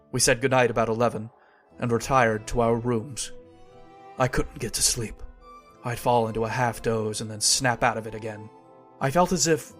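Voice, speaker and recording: A man's voice: mid-range voice, fairly bright tone, natural voice. A man playing a skeptical but haunted narrator in an old-time radio horror drama.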